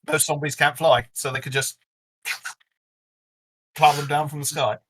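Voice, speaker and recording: A man's voice: a little high, flat delivery, measured pace, a bit bright, slightly rough. A man from Cornwall co-hosting a casual podcast, hesitant with many fillers and wry. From Cornwall, England. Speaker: co-host.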